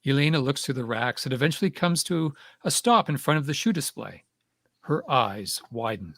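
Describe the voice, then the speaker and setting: A man narrates stage directions for a play reading. His voice is mid-pitched and sounds dry, with very little room around it. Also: very animated, normal speed, creaky, fairly bright tone, no echo. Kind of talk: scripted narration.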